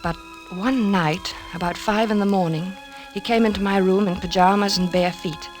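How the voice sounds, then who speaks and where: An actress playing a gentle, worried wife, mild, hesitant and soothing, in 1940s radio drama style. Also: female voice, medium pitch, steady tone, moderate pace, soft background music, natural voice. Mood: worried narration. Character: gentle, worried, placating wife recounting her husband's obsession. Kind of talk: 1940s radio acting, story narration. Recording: vintage 1940s radio recording.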